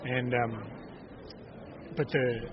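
A man in his thirties with a raspy speaking voice, talking casually as an interview guest and often restarting his sentences. The recording is dull and lo-fi. A steady hiss of noise runs underneath. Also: medium pitch. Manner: halting, many restarts and fillers. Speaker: bluegrass singer-songwriter, guest. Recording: dull lo-fi audio with clear noise.

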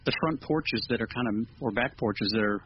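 A man's voice: medium pitch, brisk. A man speaking as a musician guest in a casual interview, earnest and modest in manner. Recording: dull lo-fi with clear noise.

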